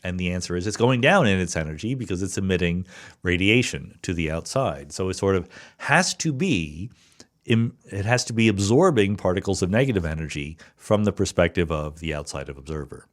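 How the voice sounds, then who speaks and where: A man's voice: deep voice, lively intonation, brisk. A man hosting a podcast, patiently explaining physics ideas and often checking in with "right?" and "okay?". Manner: thinks aloud with frequent "right?" and "okay?" check-ins. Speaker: podcast host answering listener questions. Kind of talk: explanatory solo podcast talk.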